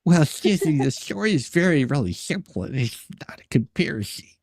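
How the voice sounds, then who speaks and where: A man's voice: on the high side, very animated, brisk, gravelly, a little mellow, no echo, clean studio sound. A man hosting a live stream in casual chat, reading messages aloud and commenting sarcastically, often repeating words as he goes.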